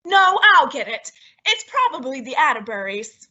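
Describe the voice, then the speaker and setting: A woman playing a flustered, secretive and affectionate housewife in an old-time radio sitcom, with animated, exclamatory delivery. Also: high voice, normal speed, muffled recording, heavily acted. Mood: mildly peeved. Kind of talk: 1940s radio sitcom acting.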